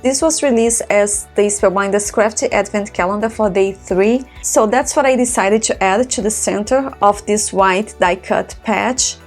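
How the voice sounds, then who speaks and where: A woman whose first language is Portuguese, calmly narrating a step-by-step card-making tutorial with detailed explanations. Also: mid-range voice, moderate pace, hardly any pauses, soft background music.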